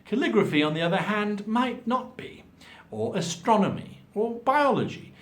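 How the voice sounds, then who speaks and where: A man's voice: sits high, expressive, normal speed. A man giving an instructional monologue as a host, articulate and emphatic in his explanations. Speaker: host giving tabletop role-playing advice.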